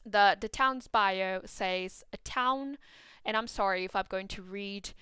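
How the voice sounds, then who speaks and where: A woman with a Portuguese accent talks casually and excitedly about a game, full of fillers and little restarts. Her voice is exceptionally crisp and clean, with a dry, close sound and no room around it. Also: medium pitch, a little slow, dry recording. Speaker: gaming YouTuber.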